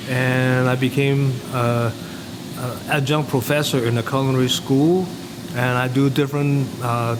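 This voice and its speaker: Chef, middle-aged man, Hong Kong accent, informal hesitant delivery, recorded in a reverberant, noisy room. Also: mid-range voice. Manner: rambling, hesitant, non-native phrasing. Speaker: chef and cooking teacher. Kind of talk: casual interview answers and explanation.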